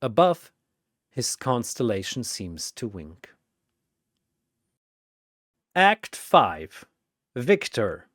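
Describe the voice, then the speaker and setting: A man's voice: medium pitch, wildly swooping pitch, extremely slow, pauses often, fairly bright tone, no room sound, studio quality. A man narrating a scripted fantasy story dramatically, in theatrical, punchy phrases.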